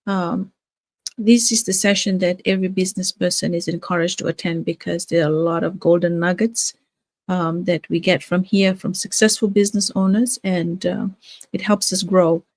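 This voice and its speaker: A woman's voice: fairly low pitch, steady tone, dry recording. Older lady from fiji chatting on a live stream, lots of ums, dry close sound. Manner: warm, halting, many fillers and restarts. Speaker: live-stream host, nurse and business owner. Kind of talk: casual live-stream talk.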